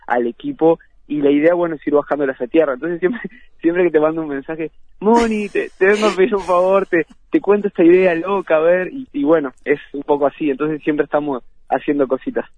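A man's voice: on the high side, a little fast, darkish tone. A man from Uruguay with a Uruguayan Spanish accent, a musician and producer talking casually as a radio guest. He laughs easily and restarts his phrases often. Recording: tinny phone line.

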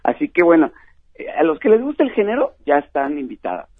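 A man's voice: on the high side, huge pitch swings, a little fast, no room sound. A man with a Mexican accent, a festival organizer on a radio phone interview, friendly and chatty with plenty of fillers and easy laughs. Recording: tinny phone line.

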